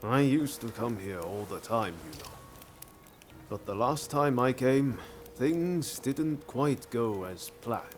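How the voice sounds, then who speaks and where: An actor playing an easygoing, friendly young samurai reformer in a video game, casual and easily flustered. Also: male voice, mid-range voice, expressive, takes it slowly, a little hiss or hum. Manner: casual, friendly, hesitant when cornered. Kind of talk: video game cutscene acting.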